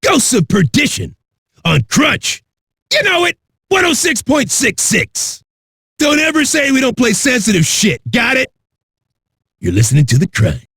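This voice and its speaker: An actor playing a cocky, crude, manic metal radio DJ with a raspy, shouty delivery. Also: male voice, extremely high pitch, wildly swooping pitch, unhurried, pauses often, no room sound, studio quality, heavily acted. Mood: hyped, brash. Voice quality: raspy, gravelly. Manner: brash, profane, shouty. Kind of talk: hard rock radio DJ patter.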